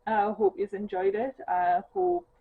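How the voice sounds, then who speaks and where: A woman's voice: medium pitch, big pitch swings, unhurried, creaky, husky. A woman from the northeast of England with a thick Geordie accent, chatting casually to camera on a sofa at home, rambling with lots of ums. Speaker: craft vlogger. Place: home living room, sitting on a sofa. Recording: tinny phone-like sound with faint hiss.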